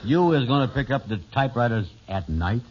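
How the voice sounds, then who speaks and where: An actor playing a slow, simple and doubtful sidekick with a plodding, folksy way of talking in an old radio sitcom. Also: male voice, medium pitch, lively intonation, close to an everyday voice. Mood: puzzled. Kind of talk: 1950s radio sitcom acting. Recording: muffled, noisy vintage radio comedy recording.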